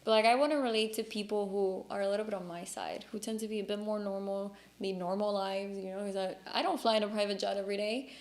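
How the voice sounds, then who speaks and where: A woman's voice: mid-range voice, steady tone, quick pace, few pauses, a little mellow. A woman from Cuba, a native Spanish speaker, hosting a casual conversational podcast in an earnest, emphatic way. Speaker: podcast host.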